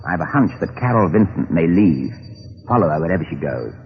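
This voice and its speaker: A man's voice: low-pitched, big pitch swings, fairly quick, creaky, husky, heavily acted. An actor playing a cool, wry, urbane private detective who also narrates his case in an old radio drama. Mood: brisk, assured. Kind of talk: 1940s-50s radio drama acting and narration. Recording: dull old radio recording, music behind.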